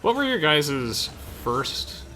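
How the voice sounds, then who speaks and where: A man's voice: fairly high pitch, big pitch swings, slow, bright, forceful tone, slight background noise. A young man hosting a let's play, chatting casually with friends as he comments on the game. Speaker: host of a let's play video. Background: game music.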